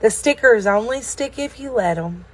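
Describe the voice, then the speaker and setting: A woman voicing a kindly, fatherly bearded craftsman in a storybook, speaking gently and thoughtfully. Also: fairly high pitch, animated. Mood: gentle, firm. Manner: thoughtful, slow, gentle, reassuring.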